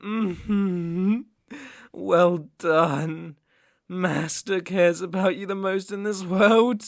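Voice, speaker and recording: A man with a British accent playing a possessive, unstable mafia boss in an ASMR roleplay, brooding and deliberate, with menacing tenderness. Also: high-pitched, steady tone, vocal fry, dry recording, studio quality, exaggerated voice. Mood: controlling, tender. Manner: slow, deliberate, brooding, condescendingly affectionate. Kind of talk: ASMR roleplay, dramatic monologue.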